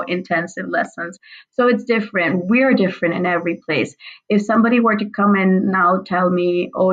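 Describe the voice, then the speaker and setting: A woman's voice: fairly low pitch, lo-fi sound. A woman in her thirties whose first language is Urdu, a guest author speaking reflectively in a conversational interview. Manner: reflective, speaks in long flowing sentences.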